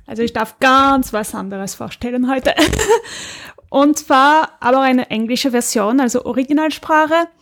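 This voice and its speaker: A woman's voice: sits high, a little slow, fairly bright tone. A woman talking casually as a podcast co-host. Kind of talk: casual chat.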